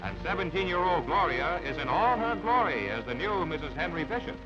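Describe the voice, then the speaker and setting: A man's voice: fairly high pitch, sing-song, brisk, dull audio. A man reading scripted newsreel narration in a formal, declamatory announcer's style. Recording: band-limited old newsreel, music underneath. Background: background music.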